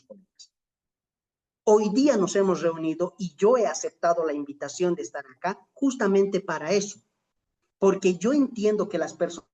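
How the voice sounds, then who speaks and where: A middle-aged Bolivian lecturer speaks at a medium pitch in a reflective, motivational style. The delivery is emphatic and rhetorical, with questions put to fellow teachers and key phrases drawn out for weight. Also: a little mellow. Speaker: lecturer in an online teacher-training course.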